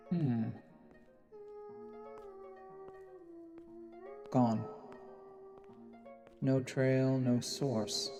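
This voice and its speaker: A man playing a watchful, brooding assassin posing as a noble, narrating deliberately with dramatic pauses in a fantasy audio drama. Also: medium pitch. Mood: wary, intrigued. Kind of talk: dramatic first-person scripted narration. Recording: long reverb, music underneath.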